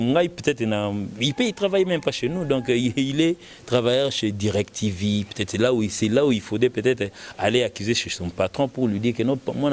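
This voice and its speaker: Congolese man, halting and repetitive talker. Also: mid-range voice, darkish tone. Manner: halting, repeating words as the argument builds. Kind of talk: casual opinionated talk mixing French and Lingala.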